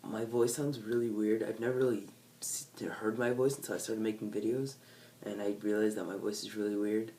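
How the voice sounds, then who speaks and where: A man's voice: lowish voice, level tone, fairly quick, warm tone, distant mic, a little hiss or hum. A teenage guy from California with a nasal voice, chatting to camera in a casual, unplanned, rambling way that keeps correcting itself. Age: teens. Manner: rambling, self-correcting, laid-back. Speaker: vlogger. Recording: faint background ambience, set back from the mic.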